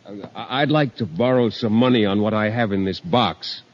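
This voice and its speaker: An actor playing a shy, mild-mannered, easily flustered schoolteacher, hesitant and polite, in 1950s radio comedy. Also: male voice, on the low side, normal speed, natural voice. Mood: bashful. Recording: muffled, noisy 1950s radio recording.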